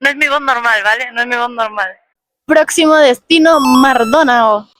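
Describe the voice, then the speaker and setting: A young woman with a Spain Spanish accent, half hoarse, chatting casually while reviewing food for her vlog. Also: high-pitched, a bit bright. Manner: chatty, playful, quick asides. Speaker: food vlogger. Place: fast food restaurants and the street outside.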